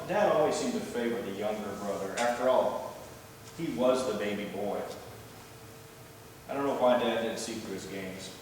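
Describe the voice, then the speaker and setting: A man's voice: mid-range voice, close to an everyday voice. A man playing a jealous, dutiful elder brother in a church readers theater monologue, grudging and complaining with emphasis. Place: large church room, live before congregation. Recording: echoey church hall, distant, clear background noise.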